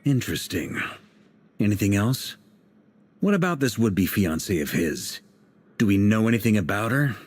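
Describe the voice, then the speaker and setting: A man's voice: on the low side, very animated, moderate pace, long pauses, darkish tone, husky, soft background music. An actor voicing a composed male leader in a game, wry and commanding as he assigns tasks. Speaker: leader planning the team's next moves.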